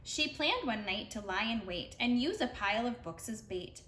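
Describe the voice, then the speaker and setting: A woman's voice: fairly high pitch, expressive, normal speed, a bit bright. A woman reading a rhyming picture book aloud expressively for preschool children. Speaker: read-aloud storyteller for preschoolers. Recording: roomy sound with faint background noise.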